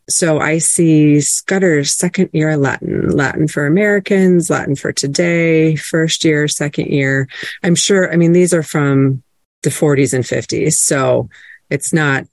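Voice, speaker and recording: A woman's voice: sits low, gravelly, no room sound. A woman librarian presenting casually on an online call, chatty, with frequent fillers and restarts.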